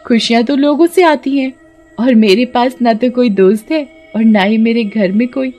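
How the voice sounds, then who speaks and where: High-voiced lonely little girl voiced by a woman. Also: normal speed, warm tone, soft background music, natural voice. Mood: plaintive. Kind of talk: Hindi cartoon voice acting.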